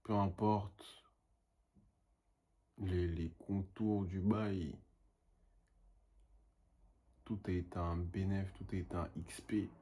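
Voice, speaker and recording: A man's voice: low voice, fairly even intonation, slow, long pauses, dark tone, slightly rough. A young man and painter talking in a casual vlog monologue, rambling and self-motivating, dropping English phrases now and then.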